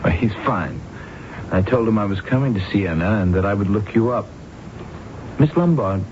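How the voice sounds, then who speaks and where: An actor playing a smooth, solicitous male visitor who also narrates, courteous and emphatic in 1970s radio drama style. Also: lowish voice, lively intonation, normal speed, slight background noise, close to an everyday voice. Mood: courteous, probing. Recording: dull old radio recording, loud music.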